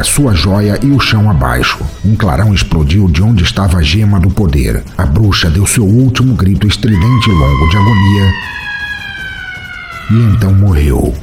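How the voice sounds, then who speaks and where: Low, animated male storyteller with mellow tone. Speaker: podcast host and narrator. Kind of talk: scripted fantasy story narration.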